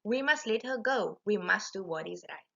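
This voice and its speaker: A woman's voice: mid-range voice, animated, moderate pace, creaky, fairly bright tone, slightly rough, muffled recording, exaggerated voice. A woman voicing startled, decent fishermen in a storybook, earnest and exclaiming. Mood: alarmed, earnest.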